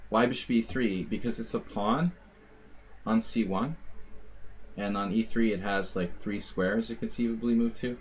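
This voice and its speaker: A man's voice: on the low side, moderate pace, a little hiss or hum. An American man giving casual, rambling live commentary on his chess games, with wry asides and plenty of fillers. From United States. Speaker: chess streamer.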